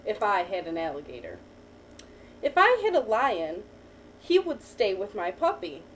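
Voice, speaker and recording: Patient teacher reading to a child. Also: female voice, mid-range voice, huge pitch swings, measured pace. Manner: patient, encouraging, asks and praises. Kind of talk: reading aloud to a child, with quiz questions. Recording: distant mic with faint background noise.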